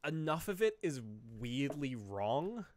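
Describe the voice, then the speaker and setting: A man co-hosting a podcast, chatting casually about films in a rambling, halting way, often quoting imagined reactions. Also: on the high side, sing-song. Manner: rambling, halting explanations full of hedges and self-quotes. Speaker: podcast co-host. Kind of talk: casual film discussion.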